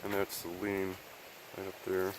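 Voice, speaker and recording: A man with a cold and a hoarse voice, narrating casually as he hikes through a remote mountain forest. He rambles, thinks aloud and clears his throat often. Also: deep voice, completely flat delivery, a little slow, background noise. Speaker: outdoor explorer filming a vlog. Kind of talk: casual narration while hiking.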